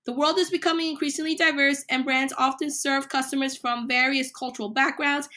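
A woman hosting a solo podcast, a little hoarse from a cold, reading numbered points with emphasis. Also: sits high, bright, forceful tone. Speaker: podcast host.

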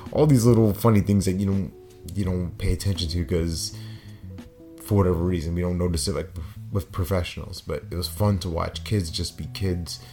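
A middle-aged man with a low, raspy, slightly hoarse voice delivers a personal motivational monologue as a podcast host. He talks earnestly, setting his thoughts down in short phrases with pauses between them. Soft guitar music plays along behind him. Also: fairly even intonation. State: hoarse after a concert.

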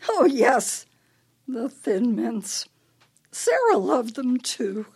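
A woman's voice: a little high, big pitch swings, very slow, darkish tone, slightly rough, heavily acted. A woman playing an imperious, brittle older wife with a failing memory in a radio play, her delivery theatrical and needling. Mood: wistful.

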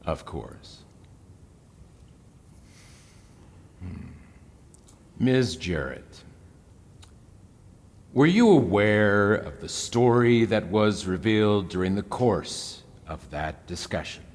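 An actor playing a pressing, condescending senator who questions a witness in formal, pointed phrases in an audio drama. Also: male voice, on the low side, sing-song, slow, full character voice. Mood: formal, probing. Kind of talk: audio drama courtroom acting. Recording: long hall reverb.

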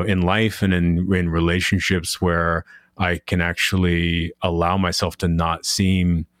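A man with a deep voice, hosting a podcast and speaking thoughtfully and softly in a reflective conversation. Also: no room sound, studio quality. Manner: thoughtful, soft-spoken, rambling. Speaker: podcast host.

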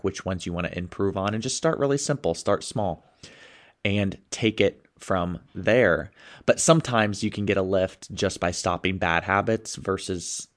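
A man with a stuffed-up nose hosting a motivational podcast, talking with upbeat enthusiasm as a sales coach. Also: low-pitched. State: stuffed up, sniffling.

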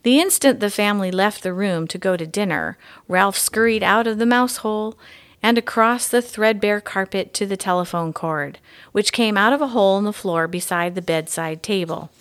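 A woman's voice: mid-range voice, normal speed. A woman reading to children as a guest audiobook narrator. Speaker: guest story reader.

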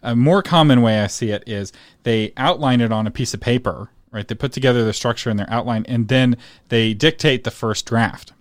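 A man's voice: medium pitch, fairly quick, no room sound. Man, host, emphatic, explains like a coach, laughs easily, dry recording. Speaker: interviewer host. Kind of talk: interview with coaching asides.

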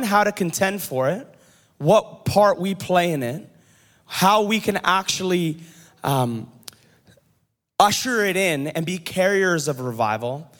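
High-voiced male pastor rallying a crowd. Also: a bit bright, long reverb tail. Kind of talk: sermon.

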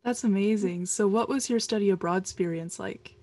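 A woman's voice: mid-range voice, a little fast, a little mellow. A woman co-hosting a podcast, reading a formal series introduction and asking the guest questions. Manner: formal, reads announcements. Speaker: podcast co-host.

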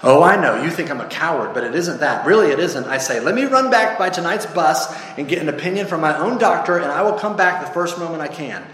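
Storybook ghost, played by a man: pitched-up, jittery, evasive, old-fashioned politeness, rambling pleas, long reverb. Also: lively intonation, brisk, hardly any pauses, a bit bright. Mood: frightened, whining. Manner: flustered, stammering, rambling excuses in old-fashioned polite English phrasing. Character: nervous, cowardly male ghost, fussily polite, pleading and evasive. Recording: echoey hall with long reverb.

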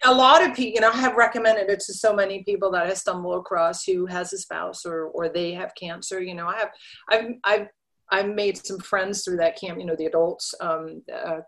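A middle-aged woman, a podcast guest, chatting casually and telling animated stories with quoted voices. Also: fairly low pitch, a little fast. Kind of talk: casual interview chat.

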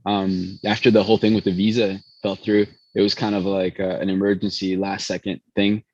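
A man's voice: deep voice, level tone, brisk, darkish tone, breathy edge, dry recording, dull audio. A man who spoke Spanish first, a pastry chef telling long rambling stories full of restarts in an interview. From born in Chicago, raised in Venezuela. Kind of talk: casual interview storytelling.

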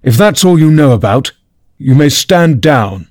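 A man voicing an irritable, blustering king in a children's storybook, sharp, imperious and impatient. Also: mid-range voice, animated, a little slow, no room sound, studio quality, voice pushed up. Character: blustering, irritable king threatening execution in court.

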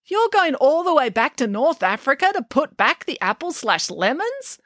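Lady playing a whiny greedy king, squeaky and indignant. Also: sing-song, moderate pace, a bit bright, dry recording, studio quality. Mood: petulant. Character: greedy, petulant male king.

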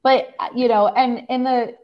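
A young adult woman, hoarse from laryngitis, earnestly recounting a personal family story in a conversation, with many fillers and restarts. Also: on the high side, steady tone, normal speed, lo-fi sound. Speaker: guest.

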